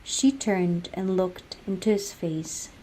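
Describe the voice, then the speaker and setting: Slow, mellow young Filipino woman narrator. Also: fairly low pitch, a little hiss or hum, rough recording. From Philippines. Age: young adult. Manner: measured, solemn storytelling. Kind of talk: scripted literary narration.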